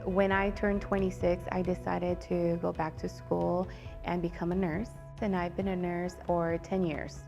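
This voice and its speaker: A woman's voice: lowish voice, level tone, unhurried, creaky, darkish tone, highs cut off, soft background music. A woman in her thirties, a nurse whose first language is Spanish, speaking sincerely in an interview. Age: 30s. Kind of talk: interview testimony.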